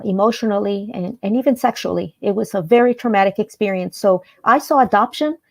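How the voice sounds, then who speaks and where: A woman's voice: mid-range voice, creaky, no echo. A woman from El Salvador whose first language is Spanish speaks as an interview guest. She tells a personal story in a mid-range voice. The sound is dry, with very little room. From El Salvador, then Maryland, United States from age ten.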